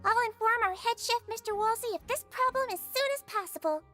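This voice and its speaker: Sweet, high-voiced little game nurse. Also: female voice, fairly quick, sharp tone, soft background music. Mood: reassuring. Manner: soothing, polite, doting bedside manner. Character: gentle, sweet, small female nurse creature, caring and attentive. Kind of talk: anime game voice acting.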